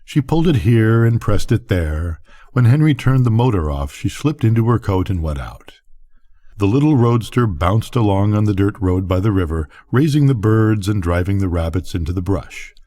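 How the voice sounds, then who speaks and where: An older man narrates a bedtime story in a lowish, mellow voice. His delivery is husky and moves freely up and down in pitch.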